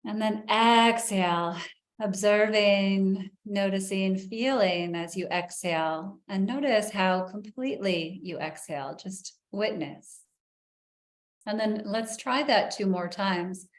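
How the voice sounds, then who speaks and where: A woman leading a guided breathing meditation in a soft, soothing way, with long pauses. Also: medium pitch, echoey room.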